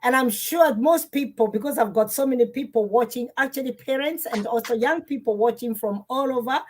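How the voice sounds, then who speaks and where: Husky-voiced woman hosting a talk. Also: a little high, a little fast. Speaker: host. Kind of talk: live online panel talk.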